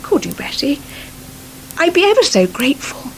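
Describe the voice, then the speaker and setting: An actress playing a flustered, genteel dinner-party hostess in a radio soap, fussy, gushing and apologetic. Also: female voice, sits high, sing-song, moderate pace. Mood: embarrassed, coaxing. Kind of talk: naturalistic radio soap acting. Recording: noisy 1980s radio broadcast.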